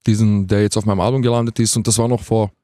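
Mellow young man, close dry sound. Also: fairly low pitch, little pitch movement, rapid-fire, no room sound. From Vienna, Austria. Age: 20s. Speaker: guest rapper being interviewed. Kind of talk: casual radio interview chat.

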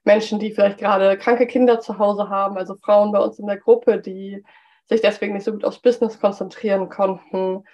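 A woman hosting a solo business podcast, slightly hoarse from a cold and chatty, with frequent filler sounds. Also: medium pitch, flat delivery, fairly quick, darkish tone, dull audio. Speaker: podcast host.

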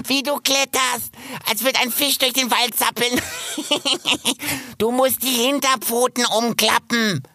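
A man voicing a cheeky, excitable male squirrel who rambles and curses crudely. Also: a little high, a little fast, dry recording, voice pushed up. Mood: teasing. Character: chatty cheeky male squirrel, quick-tempered.

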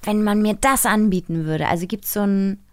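A woman co-host talks in a casual, playful way. Her medium-pitched voice comes through dry, with no room around it, as she puts questions to the others. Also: normal speed, no echo. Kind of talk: casual studio chat.

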